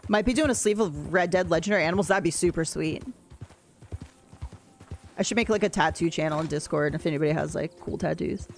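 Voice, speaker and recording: A woman with a deep voice, chatting casually and rambling while she plays a game on a livestream and answers her chat. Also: brisk, soft background music. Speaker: streamer. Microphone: desk mic, no camera.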